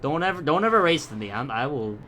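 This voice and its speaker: A man's voice: a little high, big pitch swings, fast, bright, forceful tone, slight background noise. A young man from the Philippines giving casual, rambling, profane gameplay commentary full of tangents. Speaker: gaming YouTuber.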